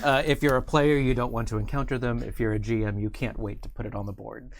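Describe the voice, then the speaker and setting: A man's voice: medium pitch, level tone, fast, gravelly, a little hiss or hum. A man hosting a casual livestream interview, talking in an enthusiastic, rambling way. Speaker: interview show host.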